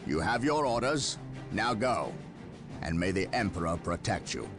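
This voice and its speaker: An actor playing a proud, commanding space-marine captain in a game cutscene, giving curt orders. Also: male voice, lowish voice, animated, moderate pace, lightly acted. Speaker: game character. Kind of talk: video game cutscene acting. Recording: game cutscene with music underneath.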